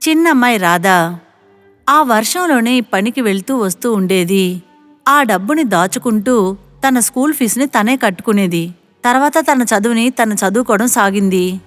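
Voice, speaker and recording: A woman's voice: on the high side, expressive, moderate pace, soft background music. A woman narrating a scripted moral story.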